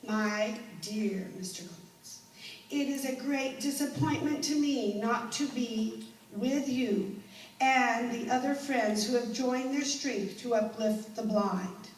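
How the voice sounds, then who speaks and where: A woman's voice: a little high, takes it slowly. A woman playing a devoted, protective teacher in a stage drama, formally reading a letter aloud. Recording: echoey room, distant mic, faint noise.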